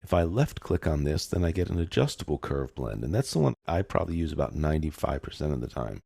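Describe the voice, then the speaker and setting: A man talks through a software lesson in a very low voice. There is plenty of fry in it, and the sound is dry and close. He explains each step informally, as an instructor would. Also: sing-song, fast talker, dry recording. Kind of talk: step-by-step screencast lesson, casual.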